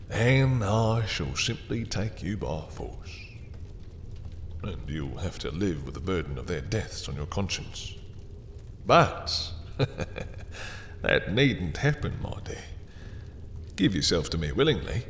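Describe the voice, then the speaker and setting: A man voicing a deep, silky, sadistic vampire. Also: in a large hall, a little hiss or hum. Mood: menacing, then coaxing. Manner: smooth and taunting, with soft chuckles and low whispers. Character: possessive sadistic male vampire lord, silky and menacing. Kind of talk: audio roleplay.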